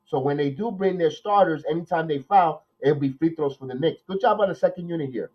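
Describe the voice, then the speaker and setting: A man, nasal from a cold, hosting a watch-along podcast, calling plays with excitement and then rambling through his opinions. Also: a little high, fairly quick, dull audio. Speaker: podcast host doing live play-by-play. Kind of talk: watch-along game commentary and chat.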